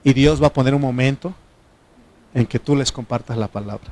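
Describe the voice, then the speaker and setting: A man's voice: medium pitch, brisk, a little mellow, dry recording. A middle-aged man from Mexico with a Mexican Spanish accent, whose first language is Triqui, preaching a folksy sermon and asking the congregation for responses. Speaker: pastor.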